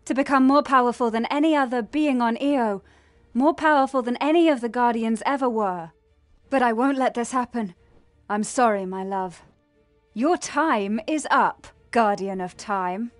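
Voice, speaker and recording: An actress playing a proud, defiant demoness with a haughty, dramatic delivery in a fantasy game cutscene. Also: female voice, a little high, normal speed, no room sound, faint music behind, lightly acted. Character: proud, defiant female demon devoted to her love.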